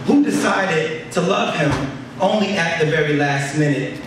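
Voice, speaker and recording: A man's high-pitched voice rings through a very reverberant room, recorded at a distance from the mic. He performs spoken word poetry with impassioned, declamatory force, shaping lines as urgent rhetorical questions. Clear noise sits under the voice. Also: a little slow. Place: live poetry reading in an echoey room.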